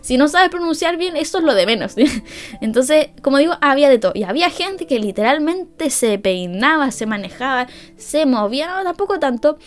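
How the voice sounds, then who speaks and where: A woman's voice: high voice, big pitch swings, normal speed, soft background music. A young woman with a Chilean accent hosting a podcast, telling a story casually, laughing often and acting out what people said. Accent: Chilean Spanish. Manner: chatty, laughs often, acts out quoted lines. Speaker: podcast host.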